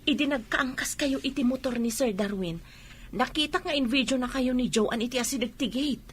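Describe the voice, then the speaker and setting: A woman's voice: high-pitched, moderate pace, a little hiss or hum, close to an everyday voice. A woman playing a concerned, gossipy young friend in a radio drama, with a Filipino accent. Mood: worried, warning.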